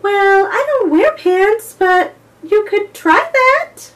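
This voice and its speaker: A woman doing a sweet, high unicorn. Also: animated, slow, full character voice. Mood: helpful. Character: friendly female unicorn. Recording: distant mic with faint hiss.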